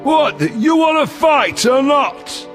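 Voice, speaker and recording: An actor voicing a cocky, belligerent brawler in a video game, taunting and jeering. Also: male voice, extremely high pitch, takes it slowly, soft background music.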